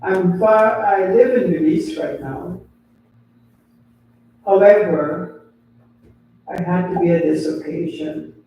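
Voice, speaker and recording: An older man from Guyana, giving a slow, deliberate memorial tribute as a family elder. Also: high voice, mellow. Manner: slow, deliberate, pausing between phrases. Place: funeral home chapel. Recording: very echoey hall, distant mic.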